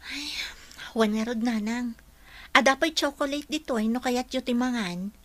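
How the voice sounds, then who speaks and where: A woman acts a modest young working woman in a radio drama. Her voice is on the high side. She is gentle, polite and apologetic, yet principled. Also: normal speed, a little hiss or hum, close to an everyday voice. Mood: defensive, affectionate. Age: young adult.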